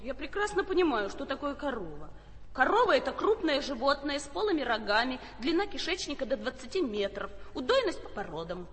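An actress playing a know-it-all waitress who recites textbook facts in a lecturing tone. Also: female voice, high voice, big pitch swings, brisk, a little hiss or hum. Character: know-it-all young waitress, veterinary graduate. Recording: stage recording in a reverberant hall.

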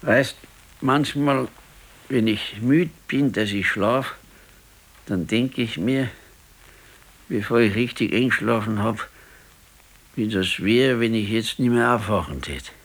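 An actor playing an ailing, brooding old retired husband in a radio play, with a Bavarian accent and a resigned, halting, plain-spoken manner. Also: male voice, lowish voice. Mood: weary, resigned. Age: older. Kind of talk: naturalistic 1970s radio drama acting.

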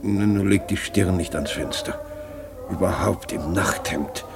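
An actor playing a devout, protective middle-aged husband in a German radio drama, measured, soothing and resigned, on a noisy old recording. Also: male voice, low voice, gravelly, over music. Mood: caring. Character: calm, devout, protective husband and worried father.

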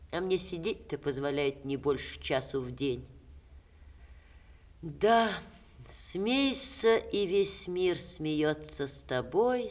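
An actress in a 1960s Russian radio play, playing a witty, ailing stage star with expressive theatrical diction. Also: female voice, low voice, full character voice. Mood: wistful, teasing.

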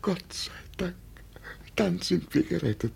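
A man's voice: sits high, lively intonation, unhurried, gravelly, darkish tone, breathy edge, exaggerated voice. An actor playing an old man who believes he is an emperor, speaking with solemn, tremulous grandeur in a vintage radio drama. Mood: anxious, relieved. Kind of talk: 1950 German radio drama acting. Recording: noisy vintage 1950 radio recording.

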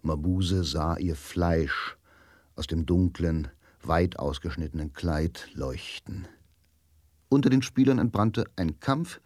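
A man narrating a radio drama in a measured, literary and dramatic manner. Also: sits low, darkish tone, no echo, clean studio sound. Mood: grave, suspenseful. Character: grave literary narrator of a crime story. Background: music.

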